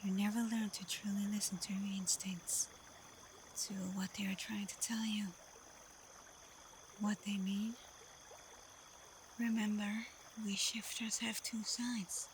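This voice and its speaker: Woman acting as alpha she-wolf, soft and breathy, slow, confident, teasing, protective, ASMR roleplay, faint ambient noise behind. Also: mid-range voice, level tone. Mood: teasing, intimate. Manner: soft, breathy, often whispered, unhurried.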